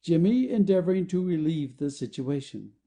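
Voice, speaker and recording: Male narrator for stage directions; very dark, soft tone, animated intonation. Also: a little high, moderate pace. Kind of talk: scripted narration of a play.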